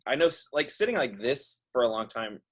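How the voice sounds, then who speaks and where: A man's voice: mid-range voice, lively intonation, fairly quick, a bit bright. A man hosting an informal group chat, talking in a casual, rambling way. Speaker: host of a virtual cast panel, puppet show writer.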